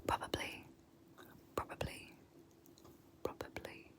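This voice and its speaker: A young British woman whispering softly in an ASMR video, repeating words slowly with small laughs. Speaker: ASMR creator. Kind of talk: whispered ASMR word pronunciation.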